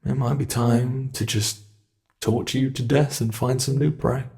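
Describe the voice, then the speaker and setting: A man with a British accent voicing a sadistic monster that hides under the bed, in an ASMR horror roleplay, talking slowly and slipping into menacing whispers. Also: medium pitch, steady tone, vocal fry, darkish tone. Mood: musing. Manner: slow, gloating, menacing, drops into whispers. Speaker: voice actor.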